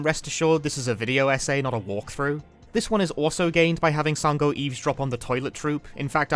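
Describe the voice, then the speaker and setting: Animated wry male video essay narrator. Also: mid-range voice. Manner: wry, dry humor with casual asides.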